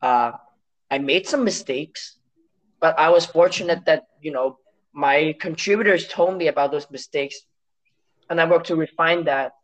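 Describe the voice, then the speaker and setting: A man from Hong Kong whose first language is Cantonese, speaking as an interview guest in a measured, reflective way with some restarts. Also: a little high, a bit bright, rough recording. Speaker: guest, science story editor.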